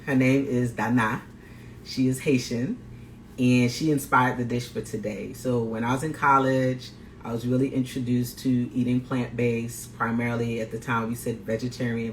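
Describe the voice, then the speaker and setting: A man's voice: mid-range voice, a bit bright. A man from Guyana, a plant-based chef and health coach, talking upbeat to viewers of a live cooking demo, greeting them and repeating phrases. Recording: roomy echo, faint background noise.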